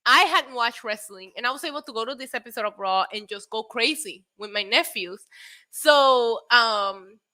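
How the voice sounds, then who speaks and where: A woman in her late thirties hosting a casual wrestling live stream, excitable and a little tipsy, laughing, swearing and dropping in Spanish phrases as she answers chat. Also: fairly high pitch, moderate pace. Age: 30s. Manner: frequent laughter and profanity. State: tipsy from drinks. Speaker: wrestling show host.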